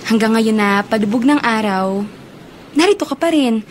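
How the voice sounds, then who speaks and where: An actress playing a sweet, friendly young Filipino student in a radio drama, speaking in an open manner. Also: female voice, on the high side, lively intonation, normal speed, a little hiss or hum, natural voice. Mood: friendly, curious. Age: young adult. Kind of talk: Tagalog radio drama acting.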